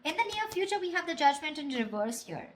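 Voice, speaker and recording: A woman's voice: high voice, sing-song, fast talker. A woman giving a tarot reading in a casual, conversational way, speaking bluntly and casually swearing. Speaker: tarot reader.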